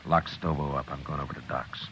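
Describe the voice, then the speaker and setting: A stern, weary lawman of the Old West, with a very low voice. He is gruff, terse and used to giving orders. It sounds like an old radio drama with clear background noise. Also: steady tone, fairly quick. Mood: weary, somber. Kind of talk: 1950s Western radio drama acting and narration. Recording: noisy old radio recording.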